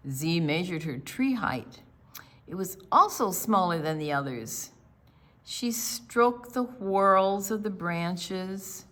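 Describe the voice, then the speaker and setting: An older woman reading aloud and explaining things to young children in a deliberate, teacherly, expressive way. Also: fairly low pitch. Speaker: children's storytime presenter.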